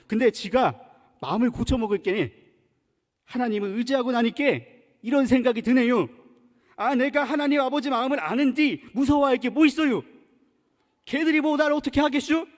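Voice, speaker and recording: A man's voice: very high voice, normal speed, long pauses, pitched above the performer's own voice. A pastor doing a folksy Chungcheong-accented praying king. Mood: trusting. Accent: Chungcheong Korean. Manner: folksy, drawling, plainspoken. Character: humble male king praying in rustic country dialect. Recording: long reverb in church sanctuary.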